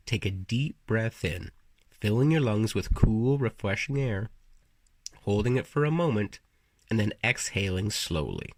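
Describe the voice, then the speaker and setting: A man narrating a guided meditation as a story, with deliberate, soothing, hypnotic delivery and storyteller flourishes. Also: lowish voice, slightly rough, dry recording, studio quality.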